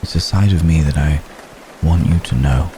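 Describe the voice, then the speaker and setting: Man acting as ancient male vampire, extremely low voice, tender and protective, courtly, intimate ASMR roleplay, steady rain behind. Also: slight background noise. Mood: earnest, confiding. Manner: hesitant, measured, formal phrasing with long pauses. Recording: close recording with steady rain ambience.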